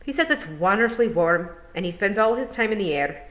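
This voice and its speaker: Woman voicing a tiny Scottish pixie lad, pitched high. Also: lively intonation, brisk, fairly bright tone, close to an everyday voice, poor audio. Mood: cheerful, matter-of-fact. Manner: dialect-heavy, addresses listener respectfully as mistress. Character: cheerful tiny young male pixie, earnest and deferential.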